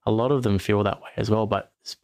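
Male podcast host, lowish gravelly voice, dry close sound, rambling and opinionated. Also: steady tone, fast talker, darkish tone, dry recording. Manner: rambling, self-correcting, frank opinions. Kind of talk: casual sports chat.

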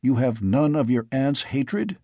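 A man voicing a weary, brooding older father who speaks in a soft, tired, probing way. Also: mid-range voice, lively intonation, a little slow, no echo.

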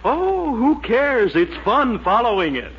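Swooping, slangy small-time crook, old radio. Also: male voice, very high voice, big pitch swings, slow, dull audio, exaggerated voice. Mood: amused, incredulous. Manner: slangy, wisecracking, mock-polite. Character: gleeful streetwise small-time male crook, the boss robber. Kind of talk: 1940s radio comedy acting. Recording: noisy, band-limited 1940s radio recording.

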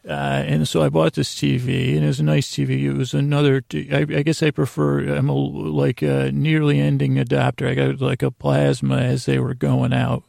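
An American man with a creaky, gravelly voice hosting a podcast, telling a meandering bedtime monologue that keeps interrupting itself. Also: mid-range voice, darkish tone, dry recording, clean studio sound. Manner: meandering, halting, self-interrupting, digressive. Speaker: podcast host.

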